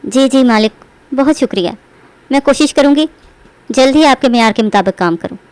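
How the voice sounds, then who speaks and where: Woman voicing poor village wife, high-pitched, humble, earnest, pleading, polite, animated storytelling. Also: fairly quick. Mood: grateful. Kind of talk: animated Hindi moral story acting.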